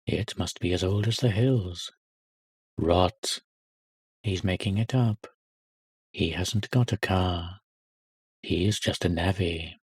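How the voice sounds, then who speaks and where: A man voicing snobbish, sneering prep-school boys, quieter and a little higher than his narration, with a husky tone. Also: takes it slowly, long pauses, dry recording, exaggerated voice.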